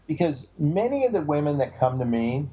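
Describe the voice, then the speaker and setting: Booming, animated man giving dating advice. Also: normal speed, husky, dull audio. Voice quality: big booming voice. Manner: animated, emphatic, repeats phrases. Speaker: dating coach and author. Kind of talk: advice talk on a call. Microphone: call audio.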